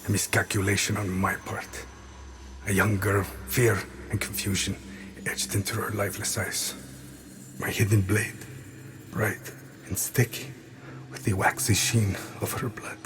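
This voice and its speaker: A man playing a remorseful assassin haunted by his past, in a grave, halting video game monologue. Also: lowish voice, level tone, a bit bright, a little hiss or hum. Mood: haunted, bitter.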